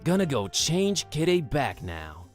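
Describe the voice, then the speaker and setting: Cheerful young game adventurer, casual and extremely expressive, music behind the voice. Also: male voice, fairly high pitch, unhurried. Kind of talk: cartoon adventure game acting. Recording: music under the voice.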